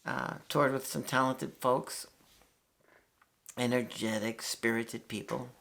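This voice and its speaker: A man's voice: mid-range voice, flat delivery, slow pace, gravelly, a bit bright. A man in his sixties, from New York, speaks in an ordinary mid-range voice. He talks as a guitarist and channel host in a loose, reflective monologue. His delivery rambles, circles back on itself and is peppered with swearing. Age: 60s. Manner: rambling, candid, swears freely, with many fillers and restarts.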